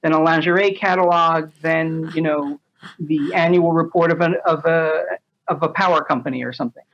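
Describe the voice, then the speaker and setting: Male interview guest, casual storyteller with a thoughtful, hesitant delivery. Dull, low-fidelity recording. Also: steady tone, moderate pace, a bit bright. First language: English. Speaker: podcast guest, translator. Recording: dull lo-fi audio.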